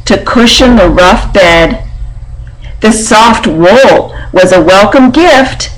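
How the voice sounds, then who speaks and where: A woman's voice: medium pitch, lively intonation, slow pace, low-quality recording. A woman voicing a proud, woolly sheep in a storybook, muttering and self-satisfied. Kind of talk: storybook character reading.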